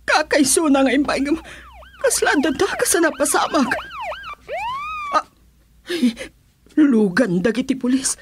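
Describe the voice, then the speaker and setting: A woman's voice: high-pitched, very animated, a little slow, long pauses, noisy background. A woman playing a distraught older mother in a radio drama, emotional and exclamatory. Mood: anxious. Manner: emotional, exclamatory, tearful delivery. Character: distraught older mother fearing for her son. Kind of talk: Ilocano radio drama acting.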